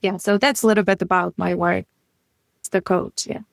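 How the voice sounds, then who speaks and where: A woman's voice: lowish voice, steady tone, moderate pace, vocal fry, no echo, studio quality. A woman in her thirties, from Ukraine and not an English speaker, speaking as a guest grief coach in an earnest, casual way, often dropping articles. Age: 30s. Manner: earnest, drops articles, frequent "you know" and "like". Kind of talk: casual interview chat.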